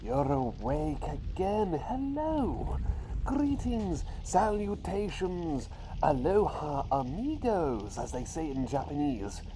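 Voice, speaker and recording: Man acting out eccentric talking-bird doctor, playful riddle-teller, soft-spoken ASMR roleplay, whispers, slow pace, very animated intonation, noticeable background noise. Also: fairly high pitch, pitched above the performer's own voice, heavily acted, low-quality recording. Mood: cheerful, chatty. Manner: whimsical, unhurried, quaintly British phrasing. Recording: clear background noise with outdoor ambience.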